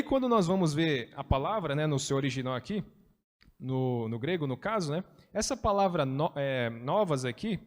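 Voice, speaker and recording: A man's voice: high-pitched, in a large hall. A man teaching a Bible study in a chatty way, explaining with everyday examples.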